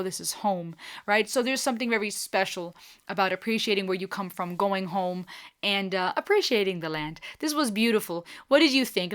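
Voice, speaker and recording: A woman's voice: mid-range voice, fairly bright tone, smooth. A bubbly, talkative woman from Germany hosting a reaction video, musing thoughtfully as she comments.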